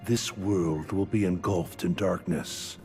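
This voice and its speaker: An actor playing a sinister, solemn older scholar in a video game, speaking in a grave, portentous manner. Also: male voice, deep voice, slow, darkish tone, soft background music. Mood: ominous. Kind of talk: scripted video game cutscene acting.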